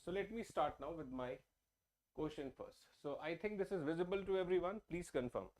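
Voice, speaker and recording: A man's voice: a little high, lively intonation, a little fast, darkish tone, slight background noise. A young man with an Indian English accent teaching exam-prep math in a live online lesson. He talks in a chatty way, repeats himself and often checks whether viewers are following. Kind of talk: live-streamed whiteboard lesson. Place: indoor studio at a large screen.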